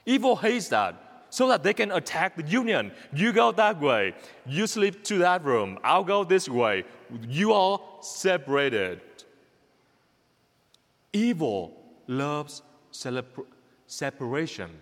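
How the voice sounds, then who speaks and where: Vietnamese-accented priest, slow and lively. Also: male voice, on the high side, in a large hall. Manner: repetitive, emphatic, exhorting, simple halting English. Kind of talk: homily.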